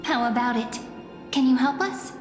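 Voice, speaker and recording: A woman playing a gentle, knowing young female companion in a video game, teasing and wistful. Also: on the high side, normal speed, natural voice. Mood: teasing, reassuring. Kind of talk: English video game voice acting. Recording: echoey hall reverb, faint music behind.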